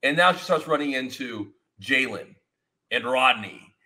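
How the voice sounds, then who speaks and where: A man in his thirties hosts a relaxed podcast chat from a home studio, speaking with real energy and drifting from story to story. His voice is bright and forward, and his pitch swings widely as he talks. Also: unhurried. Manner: energetic, rambling, expressive hand gestures. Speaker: podcast host. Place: home studio with foam-panel wall, indoors.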